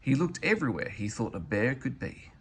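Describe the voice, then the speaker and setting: Animated male storybook reader, gravelly edge. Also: medium pitch, brisk, slight background noise. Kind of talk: expressive children's read-aloud narration.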